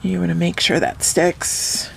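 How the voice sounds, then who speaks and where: A woman talks through a craft tutorial at an unhurried, deliberate pace. Her voice sits a little low and sounds slightly hoarse from a cold, and she explains each step calmly. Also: slight background noise, rough recording. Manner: slow, calm, instructive.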